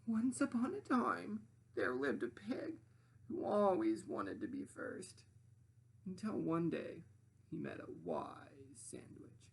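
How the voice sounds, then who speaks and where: Woman as timid storybook piglet boy, whimpering, stammering, weary, husky, very changeable pitch. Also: wildly swooping pitch, slow, heavily acted. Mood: tired, whimpering. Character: meek, flustered, whimpering young male pig.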